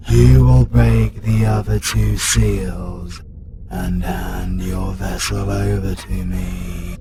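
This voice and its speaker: A British man voicing an ancient, menacing male demon god who possesses a hunter, speaking slowly, coldly and with command. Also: deep voice, exaggerated voice. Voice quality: gravelly. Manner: slow, cold, commanding. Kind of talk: fantasy audio roleplay. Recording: loud ambient noise behind.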